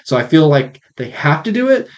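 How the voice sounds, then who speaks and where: A man hosting a podcast, chatting casually in an informal, frank and joking way, on slightly rough mic audio. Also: a little high, very animated, fairly quick, dull audio. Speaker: podcast host.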